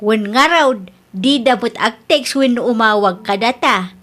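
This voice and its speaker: A woman's voice: a little high, a little slow, fairly bright tone. A woman playing a worried, practical older wife and mother in an amateur radio drama. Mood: concerned.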